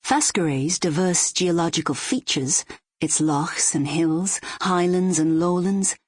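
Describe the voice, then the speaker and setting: A Scottish woman narrates a literary audiobook in a measured, descriptive way. Her voice sits fairly low and has a slightly husky edge. The recording is very dry and close. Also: no room sound.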